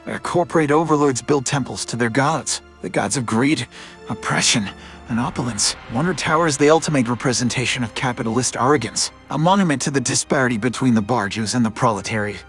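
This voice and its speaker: A man's voice: mid-range voice, a bit bright. A man playing a bitter, militant anarchist agitator in a video game, giving a righteous, declamatory propaganda speech with music behind him. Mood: defiant, contemptuous.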